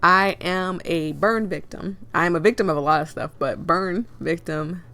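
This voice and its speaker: A woman with a cold and a hoarse, fading voice, reading a visual novel aloud with chatty asides. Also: lowish voice, moderate pace, fairly bright tone. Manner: chatty, laughs and coughs often, rambling asides. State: hoarse, losing her voice with a cold. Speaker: game reader and streamer.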